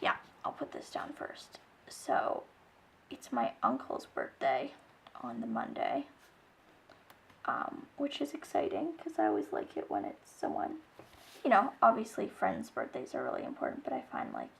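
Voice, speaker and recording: Soft-spoken hoarse young woman, gravelly. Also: mid-range voice, a little hiss or hum. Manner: soft-spoken, rambling, often drops to a near whisper. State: slightly hoarse. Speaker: planner vlogger. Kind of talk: casual chat while decorating a planner.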